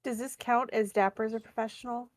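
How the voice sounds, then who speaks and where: A woman with a fairly high voice chats casually as a player in a tabletop game. She is recorded dry, without room echo. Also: steady tone, a little fast. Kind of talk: casual chat.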